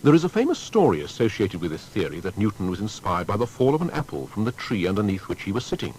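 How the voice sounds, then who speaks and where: A male narrator delivers scripted biography with articulate, emphatic phrasing. His voice is fairly low with a lively, wide-ranging melody, recorded with faint noise and rumble. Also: quick pace, poor audio.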